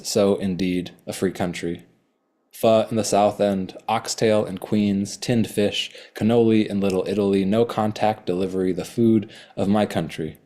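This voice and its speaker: A man reading his own poems slowly and deliberately, with hesitant, rambling remarks between them. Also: lowish voice, warm tone. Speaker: poet. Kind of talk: poetry reading with chatty asides.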